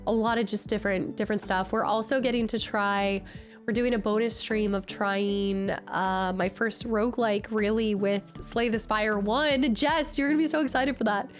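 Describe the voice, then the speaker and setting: A woman streamer chatting casually with her viewers, her voice nasal and raspy from a cold, bubbly and excitable. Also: medium pitch, normal speed, soft background music. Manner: bubbly, excitable, greets chat often. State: recovering from a cold. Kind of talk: casual live-stream chat.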